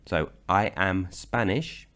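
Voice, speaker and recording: Slow, deep-voiced male language teacher. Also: a bit bright. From Britain. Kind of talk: scripted slideshow narration.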